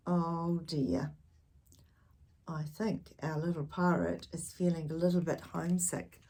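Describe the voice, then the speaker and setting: A woman reading a picture book aloud to young children, expressive and dramatic, with gentle questioning asides. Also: deep voice, unhurried. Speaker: children's storytime reader.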